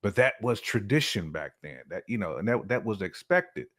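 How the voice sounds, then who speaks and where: Man with a lowish voice, talking casually. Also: very animated, normal speed, a bit bright. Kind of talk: casual opinionated discussion.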